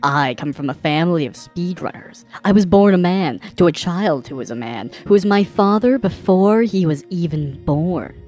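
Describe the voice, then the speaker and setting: A woman's voice: fairly low pitch, animated, normal speed, hardly any pauses, darkish tone, faint music behind, lightly acted. A woman playing a self-mythologizing male speedrunner who speaks in grand, cryptic earnest, in a fantasy audio drama. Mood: reverent, earnest. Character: solemn, mystical, self-mythologizing male video-game speedrunner.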